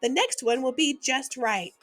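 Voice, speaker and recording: A woman's voice: high-pitched, measured pace, fairly bright tone, soft background music. A woman voicing a cool, laid-back male cat from a storybook, speaking in an easygoing, sing-song way.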